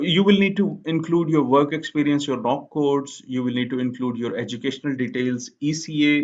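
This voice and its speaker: A young man, an Urdu speaker, explaining a process step by step in a casual tutorial, often checking in with "okay". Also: medium pitch. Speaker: immigration explainer. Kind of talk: casual screen-share tutorial. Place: small indoor room. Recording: slightly dull lo-fi sound.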